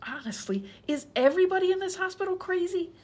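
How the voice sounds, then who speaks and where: A woman's voice: very high voice, animated, fast, a little mellow. A woman playing an exasperated, indignant hospital patient pleading her case in a comedy radio sketch.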